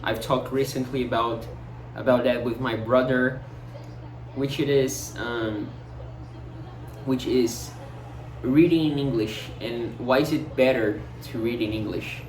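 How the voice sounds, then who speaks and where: A man's voice: medium pitch, steady tone, measured pace, a little mellow. A young man with a Brazilian Portuguese accent, a native Portuguese speaker musing casually to camera, hesitant and full of fillers and restarts. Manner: hesitant, many fillers and restarts, searching for words. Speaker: vlogger. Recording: roomy echo, distant mic, noticeable noise.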